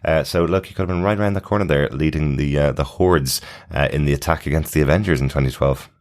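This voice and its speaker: Very deep-voiced man hosting a podcast. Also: fast. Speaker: podcast host. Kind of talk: casual chat, TV episode recap.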